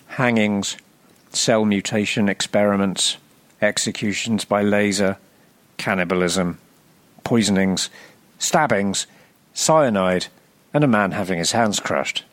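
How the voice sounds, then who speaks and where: A man reading a scripted, humorous narration as a podcast presenter, with a wry, deadpan, sardonic delivery. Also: lowish voice, dry recording.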